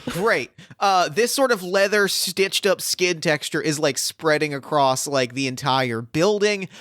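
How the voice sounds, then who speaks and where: A man's voice: on the high side, expressive, a little slow, fairly bright tone, no room sound. A man hosting as game master, improvising narration for a tabletop roleplaying game with enthusiasm, often repeating words as ideas form. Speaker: game master, podcast host.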